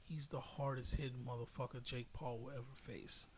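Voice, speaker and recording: A man's voice: mid-range voice, little pitch movement, moderate pace. A man co-hosting a podcast, telling stories and bantering casually in slangy, profane language. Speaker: podcast co-host. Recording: clear background noise, clicks.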